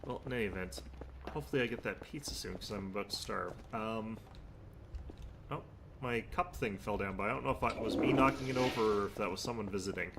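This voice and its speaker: A man with a low, gravelly voice and a stuffed-up nose, muttering through a game session. He talks half to himself, with asides and small remarks while he plays. Faint keyboard typing and ambience sit behind him. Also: a little fast, noisy background. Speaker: streamer. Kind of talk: casual gameplay commentary.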